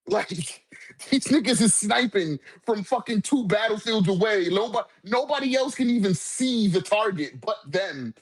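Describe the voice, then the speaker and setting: A man's voice: sits high, lively intonation, measured pace, husky, rough recording. A man in a casual manga discussion, talking in an emphatic, profane, slang-heavy way as a commentator.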